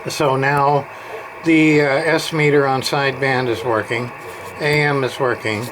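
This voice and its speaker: A man's voice: medium pitch, steady tone, measured pace. A man giving an informal hands-on repair walkthrough in a rambling way, muttering to himself as he goes. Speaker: radio repair hobbyist. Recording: clear background noise, amateur workbench video.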